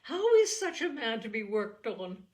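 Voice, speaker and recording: A woman voicing an agitated young gentlewoman from a classic novel, breathless and near tears, with anguished emphasis in an audiobook reading. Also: on the high side, big pitch swings, measured pace, pitched above the performer's own voice. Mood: anguished, hopeless. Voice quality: breathless, breaking voice.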